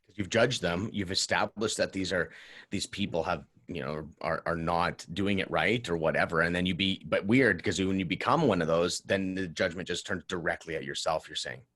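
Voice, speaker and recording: Man, deepish voice, podcast host, casual, effusive, rambling, dry sound. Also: moderate pace, fairly bright tone, dry recording. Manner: effusive, rambling with repeated words. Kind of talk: casual enthusiastic interview chat.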